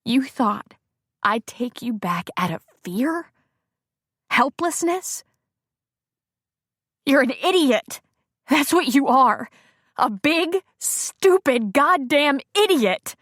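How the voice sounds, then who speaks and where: A woman reading an audiobook, voicing a bitter betrayed wife who scorns and shouts at her cheating ex-husband. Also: big pitch swings, moderate pace, dry recording, clean studio sound. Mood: contemptuous. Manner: scornful, shouting, raised pitch.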